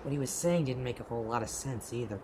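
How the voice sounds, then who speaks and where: A man's voice: medium pitch, background noise, lightly acted. A man playing a sarcastic, bewildered young human lost in a desert, in a casual, slangy amateur audio play, with music behind him. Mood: wry narration. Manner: casual, slangy, exasperated, halting when confused. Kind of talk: amateur audio play with first-person narration. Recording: very loud music bed behind voice.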